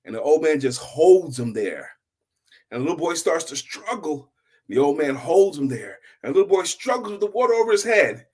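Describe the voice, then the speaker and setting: A man hosting a story show, telling a motivational tale in a deliberate, emphatic way with repeated phrases. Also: on the high side, sing-song, a little mellow. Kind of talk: motivational oral storytelling to online viewers.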